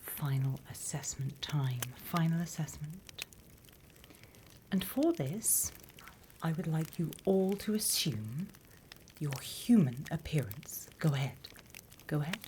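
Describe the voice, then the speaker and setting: A woman's voice: low-pitched, animated, slight background noise. Whispering British woman as a female demon teacher. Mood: patient, instructive. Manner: soft, deliberate, instructional, with pauses. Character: female demon teacher, softly menacing, encouraging, prim. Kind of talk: whispered ASMR roleplay.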